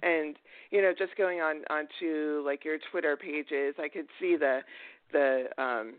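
Host, man, slightly high voice, loose rambling questions, dry tinny phone sound. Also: unhurried, clear, resonant tone, no room sound. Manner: rambling questions, many "you know" fillers. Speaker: podcast host, interviewer. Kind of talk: casual interview chat.

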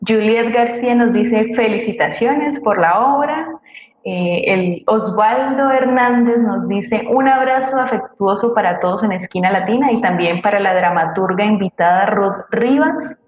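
A woman's voice: mid-range voice, a little slow. Woman reading comments, echoey room. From Colombia. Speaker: co-host reading audience comments. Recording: echoey room, faint background noise, clicks.

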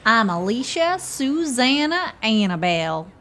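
A woman's voice: a little high, sing-song, a little slow, fairly bright tone, a little hiss or hum, exaggerated voice. A woman playing a friendly, talkative, plainspoken homeless woman in a radio play, with a rustic, folksy manner.

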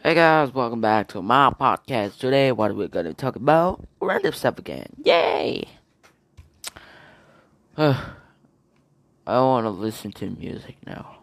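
A man hosts his own podcast, rambling playfully and goofily from one topic to the next. He talks slowly, with a gravelly texture and a lively, bouncing pitch. The sound is close and dry. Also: dry recording. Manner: playful, goofy, jumps between topics. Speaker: podcast host. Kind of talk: rambling solo podcast chat.

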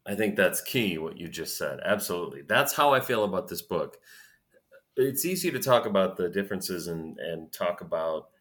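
A man's voice: low-pitched, fairly bright tone. A man guest on a podcast, chatting casually, hesitating and repeating words as he thinks. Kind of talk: casual chat.

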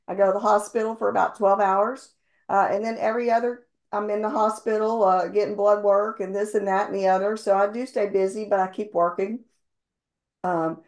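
A middle-aged woman, a bit hoarse from losing her voice, giving sales tips on a team call in an earnest, rambling way with many ums. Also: mid-range voice, a little mellow. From based in Houston, Texas. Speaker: insurance agency owner sharing sales tips. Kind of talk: motivational talk on a team call.

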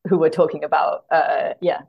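A woman's voice: sits low, steady tone, moderate pace, vocal fry, slightly rough, highs cut off. A woman speaking as a guest translator in casual podcast chat, thoughtfully telling anecdotes and often circling back to rephrase.

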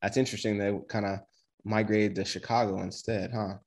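A man co-hosting a podcast, opening the show in an upbeat, energetic way and asking the guest questions. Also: on the low side, brisk. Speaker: podcast co-host. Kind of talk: podcast intro and interview.

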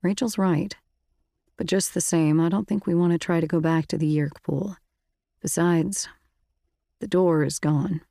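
A woman voicing a calm, firm teenage boy who leads his friends, in a storybook reading. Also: on the low side, unhurried, vocal fry, long pauses, no echo, clean studio sound. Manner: firm, steady.

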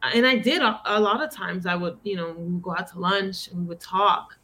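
A woman with a Bronx New York accent, telling her story as a podcast guest in a casual, animated way with many fillers. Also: on the low side, a little fast. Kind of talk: casual interview talk.

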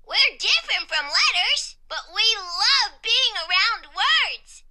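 A woman voicing an excitable, exuberant exclamation point in a children's storybook, exclaiming everything with great enthusiasm. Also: very high-pitched, takes it slowly, pitched above the performer's own voice, heavily acted. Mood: proud, eager.

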